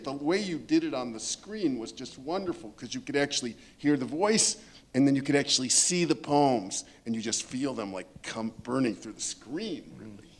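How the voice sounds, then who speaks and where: A man's voice: mid-range voice, darkish tone. A man on a discussion panel, speaking unscripted and thoughtfully about literature, emphatic and rephrasing as he works toward his point.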